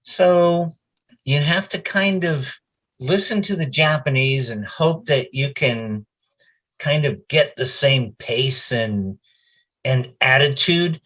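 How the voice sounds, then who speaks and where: A middle-aged man with an average-pitched voice talks at a slow, easy pace. He is being interviewed as a voice actor and chats informally, acting out little quotes as he tells his stories. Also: fairly bright tone. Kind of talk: casual anecdotal interview chat.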